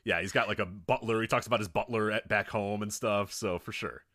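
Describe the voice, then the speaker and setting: A man's voice: lowish voice, steady tone, a little fast, bright, forceful tone, no echo, clean studio sound. A man co-hosting a casual, joking chat about films, easygoing and happy to swear. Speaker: podcast co-host. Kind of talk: casual comedic movie chat.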